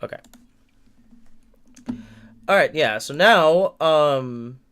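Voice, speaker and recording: A man's voice: on the high side, animated, very slow, fairly bright tone, clear, resonant tone. A nineteen-year-old man giving a rambling livestream update from a room in his family home, venting with frequent restarts and repeated words. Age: late teens. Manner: frustrated, venting.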